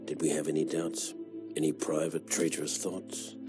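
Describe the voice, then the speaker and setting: An actor playing a battle-hardened, proud clone soldier who narrates a video game war journal in a measured, dry, wry way. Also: male voice, very deep voice, flat delivery, loud music behind the voice. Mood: grave, subdued. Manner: measured, deliberate, wry storytelling.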